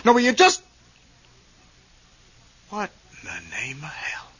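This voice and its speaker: An agitated, irritable husband in an intense radio drama. His forceful voice swings widely in pitch, on a slightly muffled vintage recording. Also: sing-song, measured pace, full character voice. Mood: accusing. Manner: agitated, snappish. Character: irritable, haunted husband plagued by nightmares.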